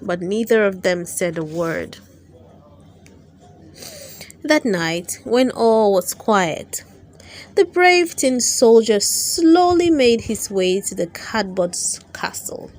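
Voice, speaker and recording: A woman reading a children's storybook aloud in an expressive storytelling manner, with dramatic emphasis. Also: medium pitch, slow. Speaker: storybook reader and channel host.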